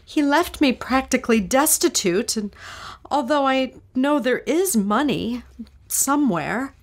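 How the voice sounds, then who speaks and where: A woman's voice: sits high, expressive, measured pace. A woman playing a frightened anxious widow. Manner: breathy, anxious, dramatic. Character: frightened grieving widow at a seance. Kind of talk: old-time radio drama acting.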